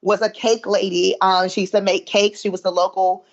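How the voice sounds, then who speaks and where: A woman's voice: mid-range voice, flat delivery, normal speed. Southern woman with a muffled sound. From American South. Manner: chatty, many fillers, proud. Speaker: guest, body image coach. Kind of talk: remote podcast interview.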